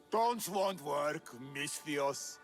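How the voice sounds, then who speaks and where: A man's voice: a little high, expressive, drawn-out words, soft background music. A man voicing a boastful, haughty, hot-tempered Olympic trainer in a video game, theatrical and scoffing. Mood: scornful. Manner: pompous, scoffing, declamatory.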